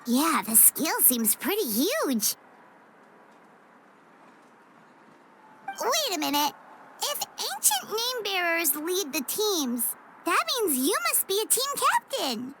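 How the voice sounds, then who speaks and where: A woman voicing a tiny, perky, childlike female fairy companion in a video game, with a squeaky, chirpy voice. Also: big pitch swings, unhurried, heavy background noise, exaggerated voice. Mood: curious. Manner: chirpy, refers to self in third person. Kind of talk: video game character voice acting.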